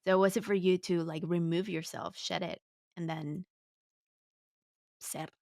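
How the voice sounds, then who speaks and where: A woman interviewer with a slightly low voice, recorded dry with no room sound. She speaks casually and reflectively, rambling a bit as she builds toward her question. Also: steady tone, measured pace, gravelly, a little mellow, no echo. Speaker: podcast host, interviewer.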